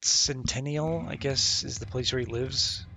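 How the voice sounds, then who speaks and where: A man with a gravelly voice doing casual livestream game commentary, muttering as he thinks aloud and reads game text. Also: medium pitch, a little slow, bright, forceful tone. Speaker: game streamer. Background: game music.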